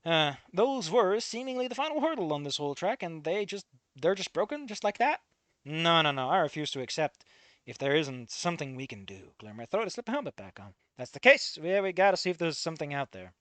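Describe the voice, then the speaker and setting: A man's voice: a little high, sing-song, fairly quick, fairly bright tone, no room sound. A man reading fan fiction aloud, breaking off to swear and comment on it.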